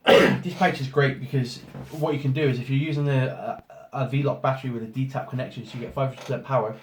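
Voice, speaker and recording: A man with a hoarse voice from a cold, casually talking through an unboxing with lots of ums and restarts. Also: mid-range voice, steady tone, a little fast. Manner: rambling, many ums and restarts. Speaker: photographer doing an unboxing. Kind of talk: casual product walkthrough. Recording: roomy echo, faint noise.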